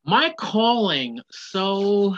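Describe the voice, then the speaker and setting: A man in his forties rambles cheerfully through his story over the phone. His voice is bright and forceful. The line is dry and a bit muffled. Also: high voice, big pitch swings, extremely slow, no echo. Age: 40s. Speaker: guest. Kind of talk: casual phone interview.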